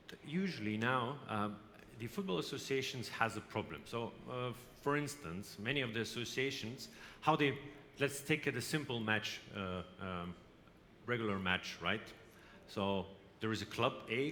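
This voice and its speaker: A man from Lithuania gives a conference presentation, speaking haltingly with frequent hesitations and restarts. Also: mid-range voice, fairly bright tone. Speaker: company representative presenting. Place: exhibition hall. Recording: reverberant hall, faint background noise.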